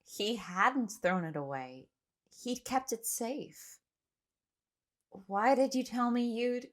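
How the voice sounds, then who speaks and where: An actress playing a troubled young castaway woman, telling her story earnestly and with measured care in an audio drama. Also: a little high, lively intonation. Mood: earnest, searching. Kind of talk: dramatic first-person audio drama narration.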